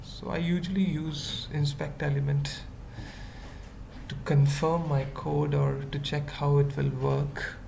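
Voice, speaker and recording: A very slow speaker with heavy vocal fry presents an unscripted coding screencast. The delivery is halting, in short fragments, as if thinking aloud. Clear background noise and keyboard typing sit behind the voice. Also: mid-range voice, level tone, darkish tone. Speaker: web development tutorial presenter. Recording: noisy mic, keyboard typing. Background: computer keyboard typing.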